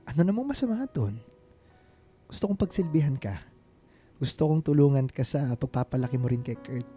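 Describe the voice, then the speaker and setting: An actor playing a devoted, reassuring suitor in a Filipino radio drama, speaking earnestly and mixing in English. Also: male voice, mid-range voice, expressive, moderate pace, mellow, faint music behind, lightly acted. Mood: insistent, devoted.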